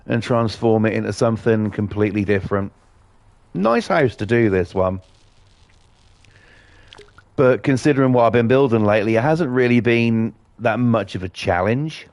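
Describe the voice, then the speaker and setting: Gravelly man, blunt and sweary. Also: lowish voice, expressive, moderate pace, pauses often, a little mellow, no echo. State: croaky voice from a cold. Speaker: gaming channel host. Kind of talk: casual gameplay commentary with rants.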